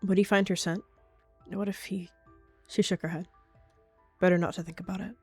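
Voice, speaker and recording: A woman's voice: lowish voice, little pitch movement, moderate pace, mellow, husky, faint music behind. A woman narrating an animal fantasy roleplay as a storyteller.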